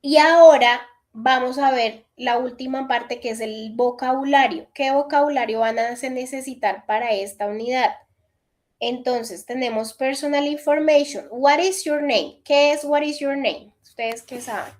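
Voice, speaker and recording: A woman in her thirties with a high voice, teaching an online English class over a video call. She walks through each point step by step and keeps checking that her students follow. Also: unhurried. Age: 30s. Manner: explains step by step, checks in with repeated "listo". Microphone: computer mic on a video call.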